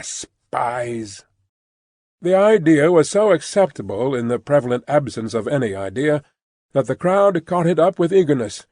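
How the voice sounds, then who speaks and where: Higher-voiced man narrating a classic novel. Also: a little mellow, dry recording, clean studio sound. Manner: measured, formal storytelling. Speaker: audiobook narrator. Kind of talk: scripted literary narration.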